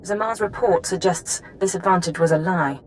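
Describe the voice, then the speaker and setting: An actress playing a stern, brilliant female intelligence chief in a video game, briefing with crisp authority. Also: fairly low pitch, moderate pace, slight background noise, lightly acted. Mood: analytical. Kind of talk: video game cutscene acting.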